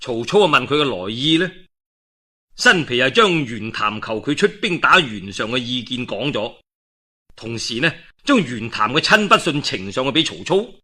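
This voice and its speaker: A man with a Guangdong Cantonese accent telling a historical tale in animated, colloquial traditional storyteller style. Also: medium pitch, normal speed. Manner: colloquial, expressive, dramatic with frequent emphatic particles. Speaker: storyteller of a classical historical novel.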